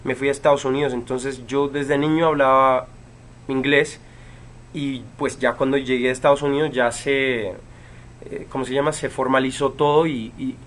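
A man in his twenties, from Chile, casually answering fan questions on a livestream from a room at home, relaxed, with many fillers and pauses. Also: mid-range voice. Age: 20s. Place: home room with bookshelves, indoors. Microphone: webcam.

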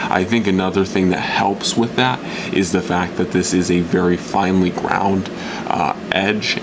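A man's voice: low voice, noisy background. A young man casually reviewing a knife at his workbench, rambling with fillers and restarts. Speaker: outdoor gear reviewer. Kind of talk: casual gear review talk. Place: indoors at a workbench.

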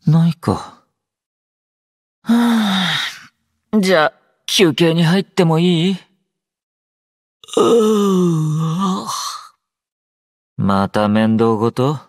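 An actor playing a drowsy, low-energy young male android soldier in an anime game, aloof yet gentle, with a languid, understated delivery. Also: expressive, slow pace, long pauses, no echo, studio quality. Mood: sleepy, reluctant. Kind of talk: Japanese anime game character voice lines.

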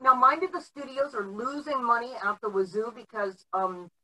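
A middle-aged woman explaining background informally, haltingly, with many filled pauses, on a video call. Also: a little high, pushed and bright. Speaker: panelist giving background on old TV history. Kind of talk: informal explanatory podcast talk. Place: home room, indoors, on video call. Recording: distant mic on video call.

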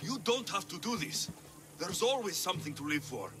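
Wry, lively-pitched mercenary hero. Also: male voice, moderate pace, music in the background. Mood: firm, blunt. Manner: wry, blunt, dry humour. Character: wry, blunt, helpful male mercenary adventurer of ancient Greece. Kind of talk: video game character acting.

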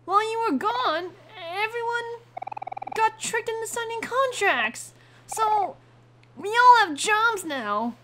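A woman voicing a cheerful, eager young boy from a video game, with a squeaky, strained, exuberant delivery. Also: animated, takes it slowly, heavy background noise, heavily acted.

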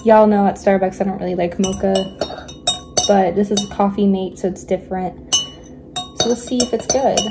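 A young woman chatting casually in her home kitchen at night, giggling and often dropping to a hushed voice, recorded on a phone camera on the counter. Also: medium pitch, steady tone, normal speed, pauses often, very noisy. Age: young adult. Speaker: vlogger. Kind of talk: casual cooking vlog chat.

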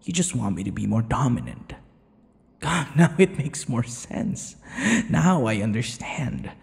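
A man playing a possessive, teasing vampire lover in an ASMR audio roleplay, smug and menacing, murmuring and slipping into breathy whispers. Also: big pitch swings, in a large hall, heavily acted. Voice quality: low, gravelly. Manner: slow, breathy murmurs dropping into whispers, mocking endearments.

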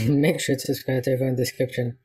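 A man's voice: mid-range voice, level tone, fast talker, warm tone, slight background noise. A man hosting a casual reaction video, making short, repetitive comments on what he watches.

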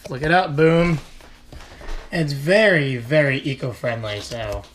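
A man in his twenties with an American accent and a slightly raspy voice, chatting casually as he vlogs on a handheld camera. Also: on the high side, unhurried. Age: 20s. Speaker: vlogger. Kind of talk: casual chat to camera and family. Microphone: handheld camera at arm's length. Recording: noisy handheld action-camera vlog audio.

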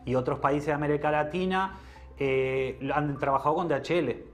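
A man answering interview questions, explaining things in a measured way with hesitant fillers. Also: a little high, soft background music.

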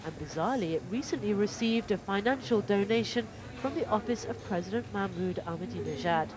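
A woman reporter giving scripted documentary narration in a measured, even way. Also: mid-range voice, crowd noise.

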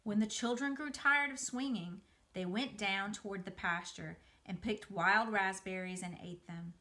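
A middle-aged woman reading a children's story aloud indoors, in an expressive, dramatized way. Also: medium pitch, unhurried. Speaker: museum educator reading aloud. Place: small room by a window, indoors.

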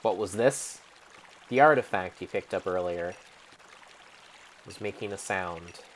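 A young man narrating gameplay like a dramatic storybook, in a theatrical, measured way with pauses. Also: deep voice, slight background noise. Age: young adult. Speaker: game streamer.

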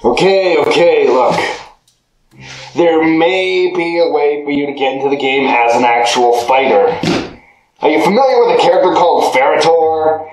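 A man's voice: on the high side, moderate pace, lightly acted. A man voicing a cocky male ice-powered fighter who teases and laughs at his own jokes. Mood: amused. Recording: echoey room, faint music.

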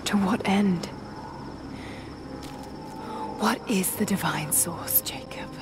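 Woman playing young game heroine, mid-pitched, earnest, determined, intense, clear music behind. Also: a little slow, close to an everyday voice. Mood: hushed curiosity. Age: young adult. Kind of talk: cinematic game acting.

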